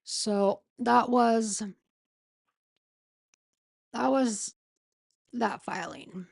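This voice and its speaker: A woman with a sore throat and a failing voice, reading a legal filing aloud in a slow, halting way and often stopping to correct herself. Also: a little high, gravelly, no room sound. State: losing her voice, sore throat. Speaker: streamer reading a court filing. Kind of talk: casual late-night live stream.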